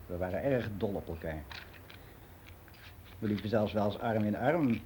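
Actor as mellow older man reminiscing, faint hiss. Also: on the low side, animated, moderate pace, natural voice. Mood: candid, reminiscing. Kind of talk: radio play monologue as a personal testimony.

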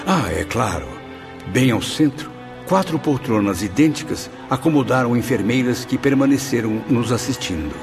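A man's voice: mid-range voice, animated, moderate pace, close to an everyday voice. A man acting a tormented, grateful soul who tells his story theatrically and formally in a radio drama. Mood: moved recollection. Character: Camilo, tormented, grateful male suicide soul recalling his journey. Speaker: actor and first-person narrator in a radio drama. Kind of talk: radio drama acting, literary first-person narration. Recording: background music under the voice.

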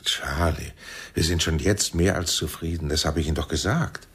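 An actor playing a paternal, persuasive intelligence chief in a radio play, theatrical, with a deep husky voice. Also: male voice, moderate pace, fairly bright tone, exaggerated voice. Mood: grave, persuasive.

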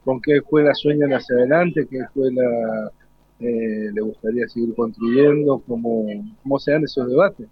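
A man's voice: mid-range voice, normal speed, husky. A man hosting an unscripted live radio interview, hesitantly searching for his words. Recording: dull lo-fi live radio recording.

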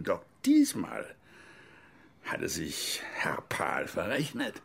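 An actor playing a bitter older East German former officer and grieving father, telling his story broodingly and haltingly in a radio drama. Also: mid-range voice, gravelly, husky, exaggerated voice.

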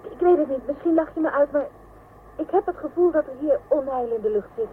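Scared young astronaut, high voice, radio play, tinny w/ slight noise. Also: female voice, lively intonation, a little fast. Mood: uneasy. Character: uneasy young female space explorer, fearful of the planet. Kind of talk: science fiction radio drama acting. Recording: thin phone-like band, faint noise.

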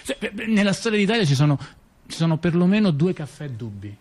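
Rambling, halting intellectual. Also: male voice, high-pitched, big pitch swings, darkish tone. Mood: wry, conspiratorial. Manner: halting, repetitive, digressive, full of rhetorical questions. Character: rambling, insinuating Italian male intellectual recounting mafia intrigue. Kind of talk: theatrical monologue in conversational speech.

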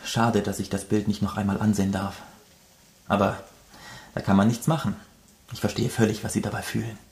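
An actor playing a gentle, resigned and sorrowful painter in a German radio drama. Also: male voice, on the low side, flat delivery, fairly quick, gravelly.

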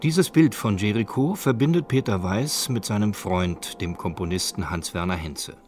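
Low-pitched man narrating a radio feature. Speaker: documentary narrator.

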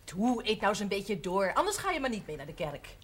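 An actress playing a brisk, bossy, practical household woman in a television period drama. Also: medium pitch, sing-song, crowd noise, natural voice. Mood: brisk, exasperated. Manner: brisk, instructing. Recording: noisy old TV broadcast audio.

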